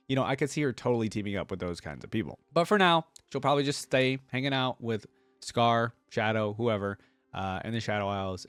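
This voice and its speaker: A man's voice: mid-range voice, clean tone, no echo. A man giving casual reaction commentary as a video host, rambling conversationally and often checking in with the listener.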